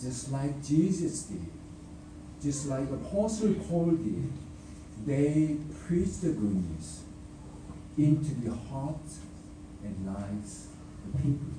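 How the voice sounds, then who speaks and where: Slow-speaking preacher in an echoey hall. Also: male voice, mid-range voice, very animated, far from the mic, background noise. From raised by a North Korean father. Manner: slow, deliberate delivery with pauses and filler words. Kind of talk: sermon with a personal mission story.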